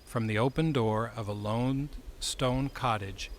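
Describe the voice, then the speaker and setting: A man's voice: mid-range voice, a little slow, a little hiss or hum. A man reading scripted story narration as the narrator.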